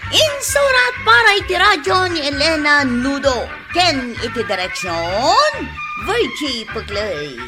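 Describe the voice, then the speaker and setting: A woman announces a radio drama with an Ilocano accent. Her high voice stretches out the scripted credits with big melodic swings, music playing behind.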